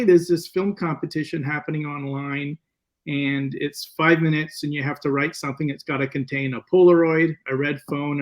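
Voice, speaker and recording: A man speaking as a filmmaker guest, telling stories casually in a meandering, halting way with repeated words. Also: fairly high pitch. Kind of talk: casual interview storytelling.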